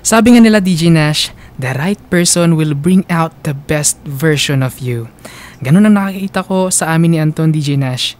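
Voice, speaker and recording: A woman's voice: low voice, normal speed, darkish tone, close to an everyday voice. Sentimental young Filipina storyteller voiced by a woman. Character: young woman fondly recounting her love story. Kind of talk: first-person narration in radio drama.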